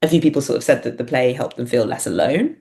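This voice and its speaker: Low, creaky-voiced woman thinking aloud. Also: expressive, fast, dark tone. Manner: hesitant, thinking aloud, punctuated by small laughs. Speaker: guest, writer-performer. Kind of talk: reflective interview conversation.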